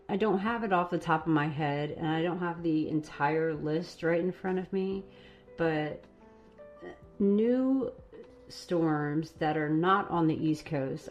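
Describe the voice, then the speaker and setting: A woman hosting a live stream, chatting casually and rambling a little while her voice is going hoarse. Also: sits low, darkish tone. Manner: casual, rambling, laughs at herself. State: losing her voice. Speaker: host.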